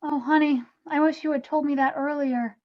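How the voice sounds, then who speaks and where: A high voice from a woman plays a motherly cartoon ghost, candid and matter-of-fact. The sound is slightly muffled and lo-fi. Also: fairly even intonation, normal speed, close to an everyday voice. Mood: candid, regretful. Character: candid, motherly middle-aged ghost of a bakery owner. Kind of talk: animated comedy script table read.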